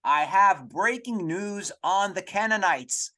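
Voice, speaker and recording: A man hosting a comedy podcast, rambling through an irreverent, profane monologue in a halting, digressive way. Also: high voice, expressive, sharp tone. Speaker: podcast host.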